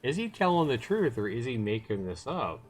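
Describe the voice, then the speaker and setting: A man's voice: medium pitch, big pitch swings, normal speed. A man livestreaming a visual novel, reading it aloud and adding chatty commentary as he thinks aloud. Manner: chatty, thinks aloud between read passages. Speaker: livestream host.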